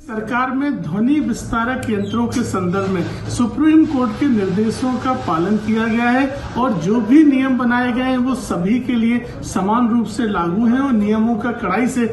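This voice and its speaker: A man's voice: very high-pitched, moderate pace, few pauses. A man giving a formal press statement as a political party spokesperson. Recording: echoey room with crowd noise. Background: crowd chatter.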